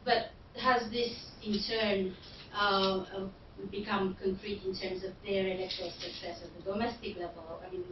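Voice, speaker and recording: Brief: halting woman questioner in a big echoey room, noisy, dull sound. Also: medium pitch, flat delivery. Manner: hesitant, many fillers and restarts. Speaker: audience member asking questions. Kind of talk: academic question-time. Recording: distant, roomy, dull and noisy hall recording.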